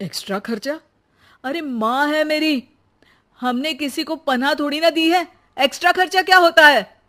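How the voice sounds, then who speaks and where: Protective, exasperated young husband voiced by a woman, emphatic and fairly high, for a moral cartoon tale. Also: moderate pace, pushed and bright. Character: exasperated young husband defending his mother.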